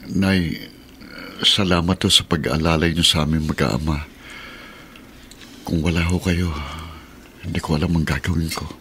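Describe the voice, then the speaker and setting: A humble, determined father who speaks gratefully to his mother. His voice is low, in radio drama style, over light noise. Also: fairly even intonation, normal speed, long pauses, fairly bright tone.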